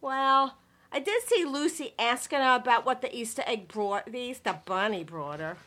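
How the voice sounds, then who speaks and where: A woman's voice: a little high, expressive, moderate pace, pushed and bright. A woman playing a sensible, worrying wife and mother in a comedy audio drama, keeping the peace in a firm, conversational way. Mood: matter-of-fact.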